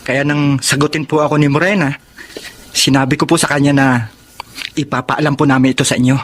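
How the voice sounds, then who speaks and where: A man plays a young boyfriend who is respectful and earnest. His voice is a little high. It is radio drama delivery with audible noise behind it. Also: little pitch movement, brisk, a bit bright, lightly acted. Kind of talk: Filipino radio drama acting.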